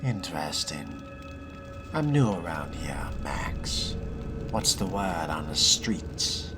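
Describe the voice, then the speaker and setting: A man's voice: low voice, big pitch swings, takes it slowly, over music, full character voice. A man playing a cool, curious newcomer vampire in a video game role-play, talking in a laconic, casual way. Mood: cool, inquisitive.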